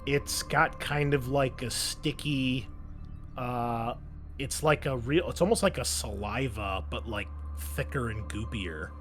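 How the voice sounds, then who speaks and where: A man's voice: medium pitch, takes it slowly. Male game master, casual and conversational, improvised tabletop narration, faint music underneath.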